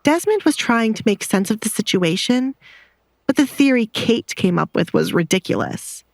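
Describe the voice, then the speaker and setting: A woman's voice: fairly high pitch, very animated, moderate pace, dry recording. A woman narrator reading a scripted dramatic story.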